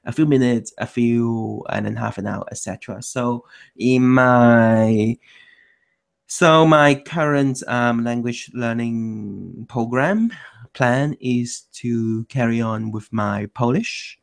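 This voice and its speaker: A man's voice: mid-range voice, takes it slowly, creaky. A man hosting an online language meetup in a loose chat, restarting his sentences often. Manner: loose, self-correcting, many restarts. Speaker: meetup host. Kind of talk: casual chat.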